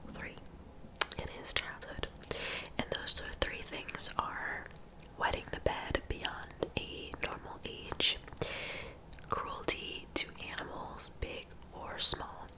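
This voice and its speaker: Whispering woman, soft ASMR storyteller. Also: rough recording. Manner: casual, conversational asides to viewers. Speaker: ASMR video host. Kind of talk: ASMR true crime narration.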